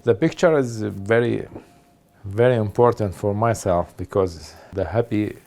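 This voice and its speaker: Very deep-voiced slow-talking woman. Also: mellow. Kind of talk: interview testimony.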